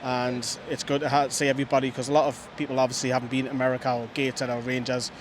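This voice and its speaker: A man giving measured, opinionated football views outdoors. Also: medium pitch, flat delivery. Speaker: fan pundit. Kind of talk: casual football chat. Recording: outdoor street noise.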